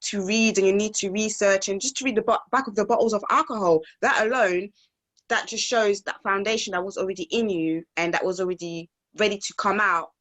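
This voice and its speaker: A woman's voice: mid-range voice, steady tone, fairly quick, fairly bright tone. A woman in her forties co-hosting an interview, affirming and encouraging the guest. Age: 40s. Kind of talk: casual interview talk.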